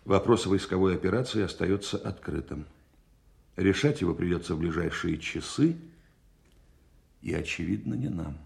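An actor in a radio play voicing a calm, probing senior general who speaks in a deliberate, official manner. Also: male voice, low voice, gravelly, breathy edge, full character voice. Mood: grave, official. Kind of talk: Soviet-style radio play acting.

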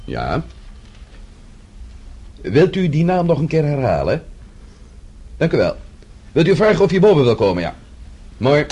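An actor playing an anxious, impatient young husband with a hot temper, in a radio play. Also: male voice, on the high side, huge pitch swings, unhurried, pauses often, mellow, husky, heavily acted. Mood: puzzled. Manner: impatient, challenging.

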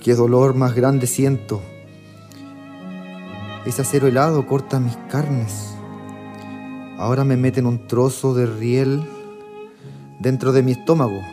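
A man's voice: medium pitch, fairly even intonation, slow, long pauses, music in the background, close to an everyday voice. A man with a Chilean accent playing an anguished young political prisoner in a radio drama. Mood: anguished, haunted. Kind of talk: radio drama monologue and acting.

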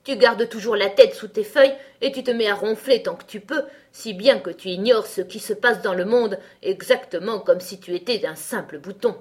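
A woman's voice: on the high side, animated, moderate pace, voice pushed up, natural voice. A woman voicing a proud, short-tempered tiger lily in a children's storybook, with a commanding, scolding manner. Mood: furious, scolding.

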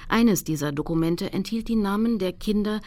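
An actress in a radio play voicing a determined investigator who recounts her hunt in sober, measured, scripted narration. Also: mid-range voice, darkish tone, close to an everyday voice. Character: determined woman investigator recalling her hunt for war criminals.

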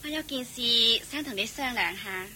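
An actress playing a grudging, hard-up young wife in a radio drama, speaking curtly with a Hong Kong Cantonese accent. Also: female voice, a little high, expressive, moderate pace, pushed and bright, slight background noise. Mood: hesitant, pleading. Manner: grumbling, curt, sighing. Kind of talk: Chinese radio drama acting.

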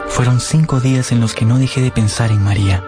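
A lovesick young gentleman played by a male actor recounts his romance with formal, literary earnestness. His mid-pitched voice carries the radio drama over prominent music. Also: fairly even intonation, a little fast, lightly acted. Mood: earnest narration. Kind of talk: radio drama narration and dialogue. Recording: music under the voice.

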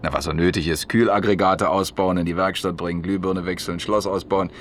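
A man's voice: low-pitched, steady tone, brisk, a little hiss or hum, natural voice. A man acting a chatty, down-to-earth repairman in a German radio play, colloquial, jovial and blunt. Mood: chatty, wry. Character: chatty down-to-earth washing-machine repairman, eager billiards player. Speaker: radio drama actor.

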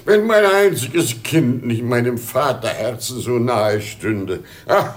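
An actor playing a sorrowful, whining old emperor and doting father in a theatrical German radio play, with sighing, drawn-out delivery. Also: medium pitch, animated. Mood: lamenting.

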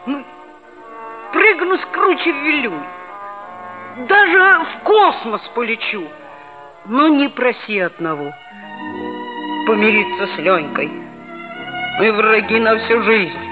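A woman's voice: on the high side, takes it slowly, long pauses. A woman playing a boastful, touchy schoolboy who tells his story expressively and earnestly in a 1960s Soviet radio play. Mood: fervent. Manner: expressive, self-important, earnest. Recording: dull old radio recording, faint music.

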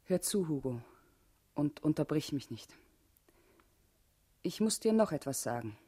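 Low husky female party comrade, grave and urgent but devoted, german radio drama. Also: lively intonation, unhurried, dark tone, full character voice. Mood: urgent, pleading. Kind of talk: German radio play acting.

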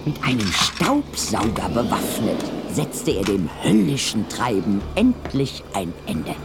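A man narrating a scripted audio drama in a dramatic, expressive storytelling style. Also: on the low side, slow, over loud music.

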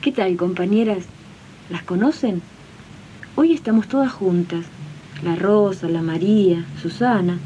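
A woman's voice: medium pitch, unhurried, long pauses, dark tone, close to an everyday voice. A woman playing a friendly, spirited Argentine domestic worker and organizer in a 1980s radio drama, talking in a colloquial, companionable way. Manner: colloquial, companionable, addresses listeners. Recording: dull, noisy archive radio recording.

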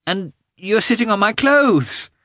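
A man voicing a small, affectionate, excitable boy in an audiobook, with eager, childlike exclamations. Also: high-pitched, unhurried, no echo, studio quality, voice pushed up, full character voice. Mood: eager, sleepy. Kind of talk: audiobook character voice.

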